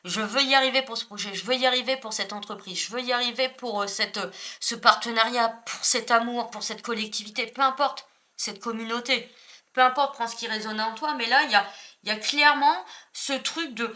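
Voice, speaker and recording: Bright-voiced French woman, rambling reading. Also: on the high side, brisk. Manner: rambling, self-correcting, many fillers. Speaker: spiritual tarot reader. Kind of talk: casual spoken card reading.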